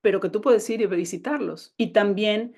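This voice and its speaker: A woman's voice: medium pitch, moderate pace, darkish tone. A woman novelist with a Mexican Spanish accent, talking about her book in a casual, enthusiastic way, with many restarts, on a video call. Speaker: novelist guest. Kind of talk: casual conversation over a call.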